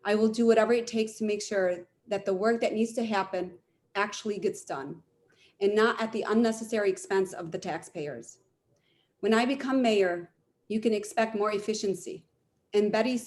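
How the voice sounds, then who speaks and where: A woman running for mayor, giving a formal, prepared closing statement in an earnest, measured way with emphatic repetition. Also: mid-range voice, a little mellow. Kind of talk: prepared campaign speech.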